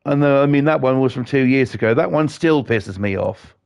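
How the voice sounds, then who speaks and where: Gravelly, sweary British man ranting. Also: medium pitch, a little fast, a little mellow. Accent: British English. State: slightly croaky. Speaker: gaming livestreamer. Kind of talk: casual chat while playing a game.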